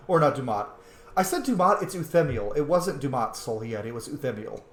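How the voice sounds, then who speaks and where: Animated man commentating on a game, roomy sound. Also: medium pitch, moderate pace. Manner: excitable, informal, swears freely. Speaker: let's play streamer. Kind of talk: casual gameplay commentary. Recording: roomy echo.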